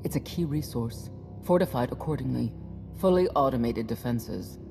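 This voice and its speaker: Seasoned female soldier: creaky, clipped, impatient and dutiful, game dialogue over clear music. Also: a bass voice, lively intonation, normal speed, full character voice. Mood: stern. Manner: clipped, matter-of-fact. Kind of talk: scripted game cutscene dialogue.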